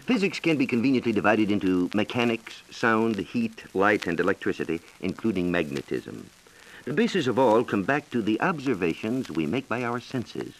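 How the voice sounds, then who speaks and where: Low-pitched American man, lively 1940s radio explainer. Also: a little hiss or hum, poor audio. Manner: explanatory, measured, lecturing. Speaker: science reporter and guest expert. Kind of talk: scripted educational radio dialogue.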